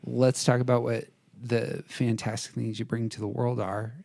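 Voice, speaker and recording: Guy podcast cohost, casual self-deprecating banter, bit of fry in his voice, dry close sound. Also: medium pitch, level tone, moderate pace, darkish tone, no room sound. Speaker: podcast co-host. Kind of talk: casual debate chat.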